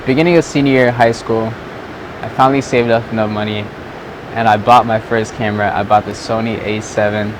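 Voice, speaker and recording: A man's voice: on the low side, fairly even intonation, brisk. Rambling young man outdoors. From Southern California. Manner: loose and rambling, many "like"s. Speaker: vlogger and photographer. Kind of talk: casual chat to camera. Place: outdoors at sunset. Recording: outdoor noise with traffic.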